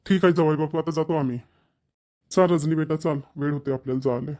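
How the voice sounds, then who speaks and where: Cartoon casting: man playing accommodating middle-aged father, highish pitch, brisk speech, Varhadi Marathi accent. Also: fairly even intonation, darkish tone, natural voice. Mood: obliging. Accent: Varhadi Marathi, Vidarbha. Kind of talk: cartoon family comedy dialogue.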